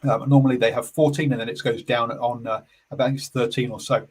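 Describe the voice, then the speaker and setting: An English man hosting a casual sports chat on a live stream, calm and easygoing, halting with many fillers and self-corrections. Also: mid-range voice, slightly rough. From England. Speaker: sports radio host.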